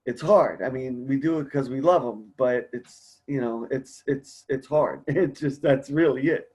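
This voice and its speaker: A man's voice: mid-range voice, measured pace, a little mellow, husky. A man speaking casually as host of a support group conference call, earnest, encouraging and a bit rambling.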